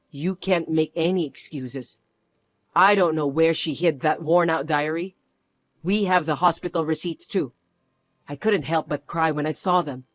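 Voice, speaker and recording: A voice actor playing a protective middle-aged mother who speaks in a low, cold and clipped way. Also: no echo, natural voice. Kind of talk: dramatized story reading.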